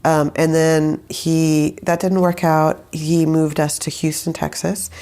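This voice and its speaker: A young woman with a low, gravelly voice tells her story in an easy, unscripted way. She wanders through her thoughts, circling back with "like" and "I don't know" as she goes. Her tone stays relaxed and conversational. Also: slow pace. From Saudi Arabia. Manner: rambling, hesitant, many fillers and restarts. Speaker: guest, musician. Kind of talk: casual interview conversation.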